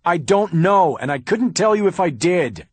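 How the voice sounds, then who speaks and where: A man's voice: mid-range voice, big pitch swings, moderate pace, slightly rough, dry recording, pitched above the performer's own voice. A man voicing a defensive, sarcastic defense lawyer who talks in a heated, insistent way. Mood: defiant.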